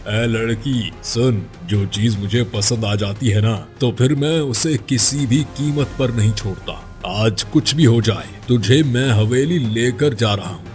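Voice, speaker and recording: A man's voice: mid-range voice, a little slow, few pauses, a little mellow, soft background music, natural voice. A man playing a domineering, possessive village chieftain in a cartoon story, speaking in a commanding, imperious way. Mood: possessive, menacing. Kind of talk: Hindi moral-story cartoon dialogue.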